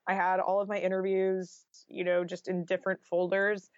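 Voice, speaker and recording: A woman's voice: lowish voice, completely flat delivery, moderate pace, no room sound, dull audio. A woman giving conversational interview answers as a guest author, explaining informally with lots of "like" and "you know".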